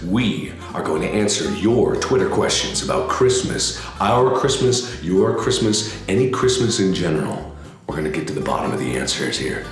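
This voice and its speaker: A man in his twenties hosting a joking vlog, hoarse and gravelly from being sick, talking loosely with loud bursts. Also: fairly low pitch. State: hoarse from illness. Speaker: YouTube host. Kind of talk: casual comedic vlog. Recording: echoey room.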